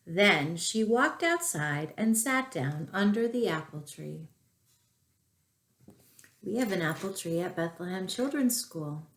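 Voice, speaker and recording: A woman reads a picture book to young children. Her pace is slow and deliberate, her delivery expressive with asides to the listeners. The room around her is noticeably echoey. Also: lowish voice. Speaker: children's storyteller at a school. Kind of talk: storybook read-aloud to young children.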